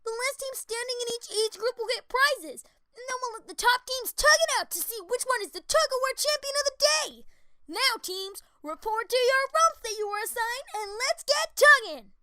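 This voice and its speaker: A woman's voice: extremely high pitch, lively intonation, normal speed, bright, forceful tone, dry recording, studio quality, heavily acted. A woman voicing an upbeat young female cartoon pony, announcing with peppy energy in an audio story. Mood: brisk, upbeat. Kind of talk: dramatized audiobook, event announcing.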